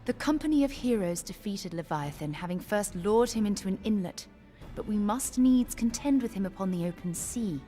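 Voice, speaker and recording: Game role: matter-of-fact female advisor voiced by a woman, medium pitch, formal and scholarly, over music. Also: animated, normal speed, dark tone, lightly acted. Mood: sober, informative. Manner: formal, precise archaic phrasing. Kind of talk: scripted video game voice acting.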